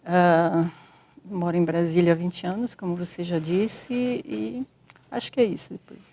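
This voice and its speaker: A middle-aged woman from France, speaking as an invited professor in an unscripted conversation. Her voice sits low and gravelly, with a dark, mellow tone, and she often restarts her sentences as she thinks. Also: steady tone. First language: French. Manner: hesitant, halting with restarts. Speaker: guest professor. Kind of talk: casual interview talk.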